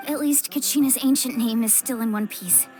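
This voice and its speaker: An actress playing a spirited, chiding young female adventurer in a video game, expressive and teasing. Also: a little high, moderate pace, faint music behind, close to an everyday voice. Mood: chiding, alert.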